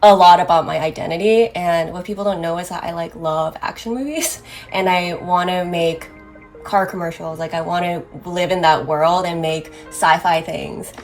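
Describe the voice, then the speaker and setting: A woman's voice: lowish voice, steady tone, normal speed. A young woman filmmaker from South Korea, talking casually about her work with frequent "like" fillers and light laughs. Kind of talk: reflective interview talk. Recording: voice over loud music bed.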